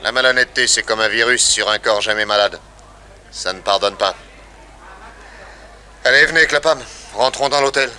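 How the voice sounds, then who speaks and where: A man's voice: mid-range voice, normal speed, long pauses, bright, forceful tone, slight background noise. A man playing a shrewd, authoritative detective with clipped, assured delivery in a French radio drama. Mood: grave.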